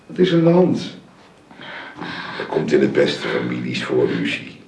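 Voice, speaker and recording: An actor playing a caring, grave middle-aged or older father in a Dutch radio drama, speaking in a gentle, solemn manner. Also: very deep voice, wildly swooping pitch, normal speed, vocal fry, heavily acted. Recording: roomy, faintly noisy radio play recording.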